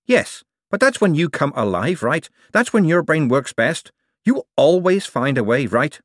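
Casting: self-assured, stubborn storybook woman played by a male reader, explanatory, lively, raised pitch. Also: unhurried, no room sound, studio quality. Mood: calm, coaxing. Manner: explanatory, insistent.

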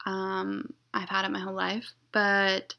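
A young woman hosting her own podcast, talking in a flat, monotone, rambling way and being blunt. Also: mid-range voice, measured pace. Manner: blunt, unfiltered. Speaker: podcast host. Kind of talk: casual solo podcast intro.

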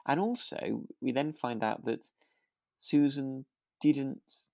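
A man's voice: medium pitch, takes it slowly, vocal fry, a little mellow, no room sound, studio quality. A British man co-hosting a podcast, casually recapping and often rephrasing as he goes. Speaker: podcast co-host. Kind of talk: casual chat about a radio drama.

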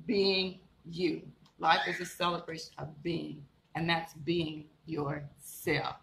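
A woman's voice: fairly low pitch, gravelly, a bit bright. A woman minister giving a live-streamed sermon, encouraging and repetitive, building up to emphatic declarations. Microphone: live stream setup. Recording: echoey room with faint background noise.

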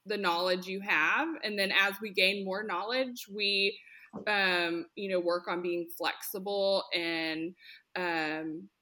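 A woman speech therapist talking in an earnest, expressive way, often acting out examples as quotes. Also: mid-range voice, slow. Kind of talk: conversational interview.

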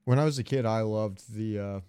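A man's voice: fairly low pitch, a little fast, mellow, clear, resonant tone, no echo, studio quality. A man chatting casually and crudely as a podcast co-host, swearing freely. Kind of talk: casual banter about game sounds.

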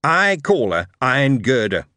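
A man's voice: mid-range voice, big pitch swings, unhurried, pushed and bright, no room sound. A man voicing an eager young Yorkshire lad whose words tumble out as he explains his inventions.

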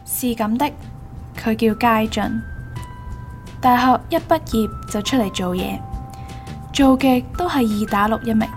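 Female narrator, fairly high voice, scripted storytelling, radio drama, faint music behind. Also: a little slow, long pauses.

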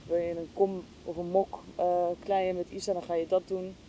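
Hesitant woman, mellow voice. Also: level tone, moderate pace. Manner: hesitant, thinking aloud. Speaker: interview guest, podcast hostess. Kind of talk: casual, reflective conversation. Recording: clear low rumbling background noise.

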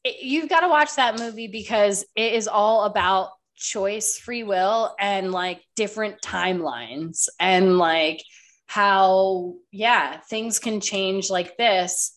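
A woman's voice: mid-range voice, takes it slowly, a bit bright. A woman hosting a podcast in casual chat, a bit congested and nasal with a slight cough, who talks loosely and swears often. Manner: chatty, swears casually, lots of "like". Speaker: podcast host.

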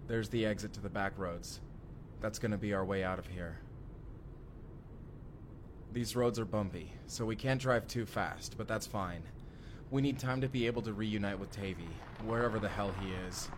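A man's voice: fairly low pitch, moderate pace, lightly acted. Man acting desperate fugitive, urgent, over background noise. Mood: tense, subdued. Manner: urgent, foul-mouthed. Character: desperate young man on the run from time-traveling assassins. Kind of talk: first-person immersive audio drama acting.

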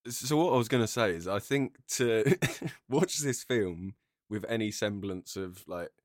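A man's voice: fairly low pitch, unhurried, no room sound, clean studio sound. A man talking casually as a co-host in a podcast discussion.

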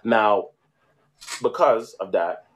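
A man's voice: lowish voice, very slow. A man co-hosting a casual chat, explaining at length and often adding "right?". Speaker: podcast co-host.

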